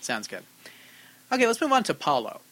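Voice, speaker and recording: A man's voice: fairly high pitch, huge pitch swings, fairly bright tone. A man co-hosting a podcast about arcade repair, chatting casually and reading out listener letters in an upbeat way. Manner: upbeat, quick, reads letters aloud. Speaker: podcast co-host.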